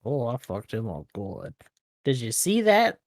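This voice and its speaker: A man's voice: mid-range voice, wildly swooping pitch, a little slow, creaky, no room sound, clean studio sound. A man on a gaming podcast, bantering casually in an informal, sweary way. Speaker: podcast panelist.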